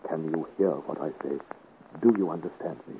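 An actor playing an earnest, gentle adventurer hero in a 1950s radio serial, speaking in a solemn, formal and careful way. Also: male voice, very deep voice, fairly quick. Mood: gentle, probing. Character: earnest, gentle young adventurer hero of 17th-century France. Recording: scratchy, noisy, tinny 1950s radio recording.

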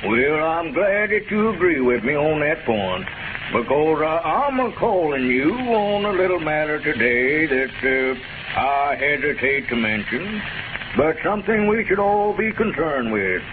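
A scratchy, thin old radio broadcast with heavy noise. a sanctimonious small-town squire with a drawl, his pitch swooping as he hems, haws and flatters with pompous, oily politeness. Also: a little slow, phone-quality sound. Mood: unctuous. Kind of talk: 1930s radio comedy acting.

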